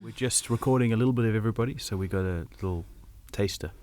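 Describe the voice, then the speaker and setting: A man's voice: fairly low pitch, quick pace, dark tone. A man interviewing his family in a casual chat, asking light questions with frequent laughs.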